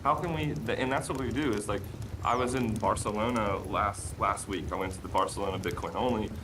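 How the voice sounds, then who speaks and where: Energetic low-voiced American man, noisy recording. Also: a little fast. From United States. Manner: enthusiastic, repeats phrases, many fillers. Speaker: guest, app promoter. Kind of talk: casual podcast conversation. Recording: noisy background.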